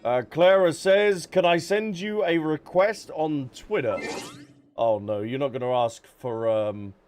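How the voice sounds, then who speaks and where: Chatty, higher-voiced man livestreaming. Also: a little slow, a little mellow, faint music behind. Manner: chatty, playful. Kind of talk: casual game stream chat, reading and answering viewers. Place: behind: game music.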